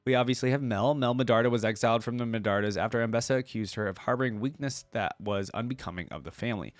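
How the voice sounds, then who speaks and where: A man's voice: mid-range voice, fast talker, no echo. A man reading text aloud as a reaction video host, dropping in casual asides between passages.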